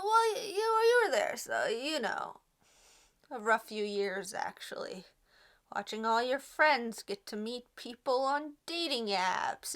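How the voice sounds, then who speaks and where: A woman's voice: fairly high pitch, fairly bright tone. A young woman playing an anxious, self-deprecating romantic hopeful in a naturalistic comedy web series, with a rambling, wry, stammering delivery. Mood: tipsy, wry, then tipsy, dramatic. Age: young adult.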